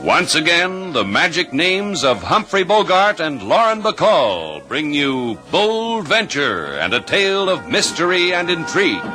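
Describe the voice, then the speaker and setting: Casting: male old-time radio announcer, dramatic, widely swinging pitch, loud music bed. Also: very animated, measured pace, hardly any pauses, rough recording. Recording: vintage radio recording over music.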